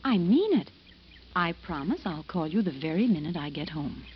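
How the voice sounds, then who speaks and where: Headstrong, animated woman. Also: on the low side, husky, exaggerated voice. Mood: firm, bossy. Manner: brisk, bossy, reassuring. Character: headstrong, self-possessed, dismissive, fearless woman. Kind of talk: old-time radio drama acting. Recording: dull, noisy old radio recording.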